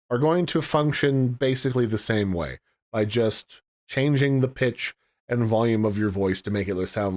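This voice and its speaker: A man's voice: medium pitch, darkish tone. A man giving a casual product review and demo as host.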